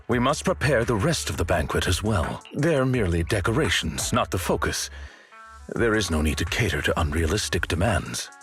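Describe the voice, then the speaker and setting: A man playing a courteous, composed game butler who speaks in a formal, measured and polite way. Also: deep voice, a bit bright. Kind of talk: scripted video game voice acting.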